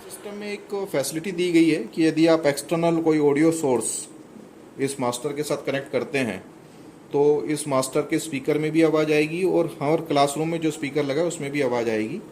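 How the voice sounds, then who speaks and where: A middle-aged man walks through a product demo in a plain, explanatory way, pausing now and then to find the next step. His voice sits a little high for a man, and there is a faint background hum. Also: darkish tone. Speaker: product demonstrator.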